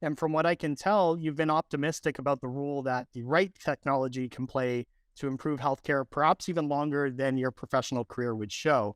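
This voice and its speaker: A man's voice: fairly high pitch, no echo. A man hosting a podcast, reading a polished, upbeat introduction and then asking his guest welcoming questions. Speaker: podcast host.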